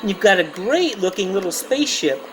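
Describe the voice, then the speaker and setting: A man with a high voice who gives a comedic retro game review in an excitable, emphatic, jokey way. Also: moderate pace, background noise.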